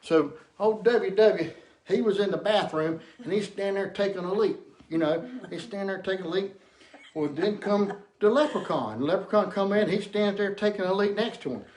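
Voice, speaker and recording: A man's voice: sits high, moderate pace, a little mellow. A man telling a casual comic anecdote to camera in a rambling, folksy way, often repeating himself. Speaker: storyteller.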